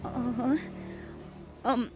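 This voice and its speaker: A woman with an Ilocano accent playing a remorseful, emotional mother in an audio drama, halting and sighing. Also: fairly high pitch, little pitch movement, heavy background noise. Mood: remorseful, longing. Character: remorseful, tearful mother reunited with her grown daughter.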